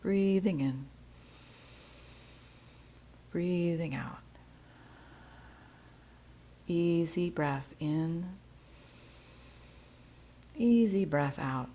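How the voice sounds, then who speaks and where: Slow, low-pitched woman guiding relaxation. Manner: soothing, gentle, unhurried instruction. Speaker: yoga nidra teacher. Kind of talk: guided relaxation meditation.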